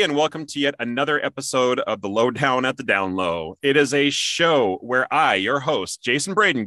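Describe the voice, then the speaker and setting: A man's voice: medium pitch, bright, forceful tone, no room sound. A man hosting an interview show, speaking with an enthusiastic, showman-like manner and chatting conversationally. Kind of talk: upbeat scripted intro and conversational interviewing.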